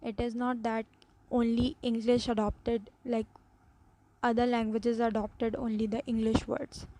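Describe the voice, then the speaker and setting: A woman's voice: fairly high pitch, flat delivery, a little slow, background noise. A woman with a Pakistani accent, a native Urdu speaker, giving an earnest, explanatory class presentation with some restarts.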